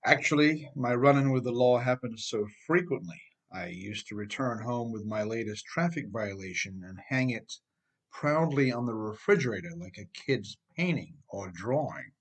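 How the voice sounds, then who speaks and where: A middle-aged American man narrates a nonfiction audiobook as its author. His pitch sits in the middle range for a man. He reads from a script but talks straight to the listener, with emphasis and a conversational turn. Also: animated, normal speed. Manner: emphatic, addresses listener with rhetorical questions. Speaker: author reading own audiobook.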